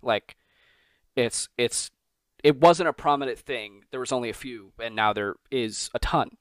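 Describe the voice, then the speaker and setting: A man's voice: medium pitch, a little slow, a bit bright, no echo, clean studio sound. A man hosting a podcast interview, talking in long, rambling, enthusiastic stretches. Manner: rambling, enthusiastic, repeats himself. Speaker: podcast host.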